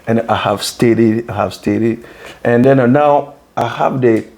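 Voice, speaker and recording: A man from Ghana hosts an interview in a mid-pitched voice. He speaks earnestly, stumbling over repeated words as he builds his questions, and fills the gaps with affirming murmurs. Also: normal speed, creaky, darkish tone. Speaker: interview host. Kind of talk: conversational interview.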